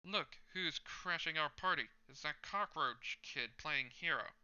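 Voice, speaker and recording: A man's voice: a little high, unhurried, pushed and bright, smooth, no room sound, dull audio, pitched above the performer's own voice. A man voicing a sneering young male street thug who taunts and bullies, with a raised, mocking voice. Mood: menacing.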